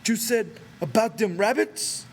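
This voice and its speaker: A man's voice: high voice, a little slow, slight background noise, pitched above the performer's own voice. A man voicing a childlike, simple-minded American man, eager, pleading and insistent, in an audiobook.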